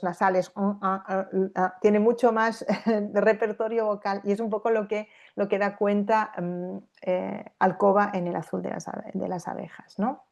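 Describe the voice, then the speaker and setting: A woman's voice: mid-range voice, vocal fry, darkish tone. Woman giving a hesitant, thoughtful lecture. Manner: thoughtful, hesitant, with many fillers and tag questions. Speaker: university lecturer. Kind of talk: academic talk with read-aloud quotations. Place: lecture hall at a public talk.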